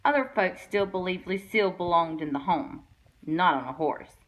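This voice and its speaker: A woman reading a children's picture book aloud with expressive storytelling delivery. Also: fairly low pitch, moderate pace, rough recording. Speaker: guest read-aloud reader.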